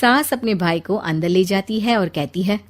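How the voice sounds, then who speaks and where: A woman's voice: mid-range voice, moderate pace. A woman narrator reading a scripted comic story.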